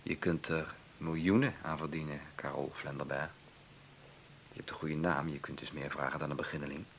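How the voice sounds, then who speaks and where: A brash, scheming lawyer brother in a radio drama. His voice is very low and creaky, and his talk is slick, slangy and pushy, halting at delicate words. A clear hiss lies over the old recording. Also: fairly even intonation. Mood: slick, persuasive. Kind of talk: Dutch radio play acting.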